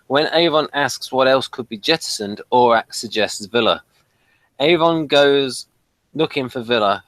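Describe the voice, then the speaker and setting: A man hosting a review discussion, reading a summary aloud and chatting, sometimes haltingly. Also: medium pitch, a bit bright, no room sound, rough recording. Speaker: podcast review host.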